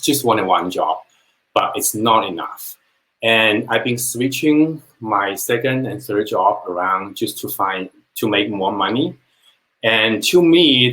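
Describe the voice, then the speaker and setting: A man's voice: fairly low pitch, a bit bright, low-quality recording. A man from China, speaking English as a second language, telling his personal business story on a live webinar in an earnest, plain-spoken way. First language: Chinese.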